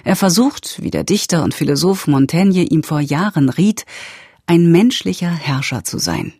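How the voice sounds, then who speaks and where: A woman reading a scripted radio introduction and summary as announcer, with a husky, mellow voice. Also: low-pitched, big pitch swings, moderate pace, no room sound, clean studio sound. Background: faint music.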